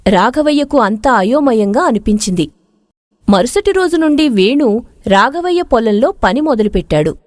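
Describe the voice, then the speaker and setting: A woman reading an expressive scripted moral story as its narrator. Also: high-pitched, dry recording, clean studio sound.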